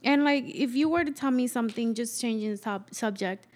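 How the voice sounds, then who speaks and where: A higher-pitched woman with a light Dominican accent talks casually with a friend, laughing easily. She is relaxed and chatty. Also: fairly quick. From Dominican Republic heritage. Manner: laughs often, soft and chatty. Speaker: guest co-host. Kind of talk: casual podcast chat.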